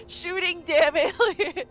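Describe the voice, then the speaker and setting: A woman's voice: very high-pitched, fairly bright tone, muffled recording. A woman playing at a tabletop game table, chatting eagerly in playful slang and laughing easily. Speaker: player. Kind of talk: casual tabletop play chat.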